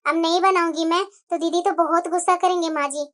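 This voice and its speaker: A timid young daughter-in-law in a family drama, quiet and obedient, as a young woman plays her. Her voice is very high and bright. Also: steady tone, brisk. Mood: meek. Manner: timid, submissive.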